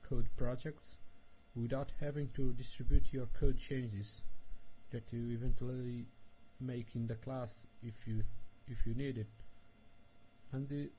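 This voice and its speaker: A man, a developer giving a recorded technical presentation in slow, careful speech with frequent hesitations. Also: mid-range voice, steady tone, warm tone, slight background noise, rough recording. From Portugal. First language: Portuguese. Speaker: software developer presenting a tutorial.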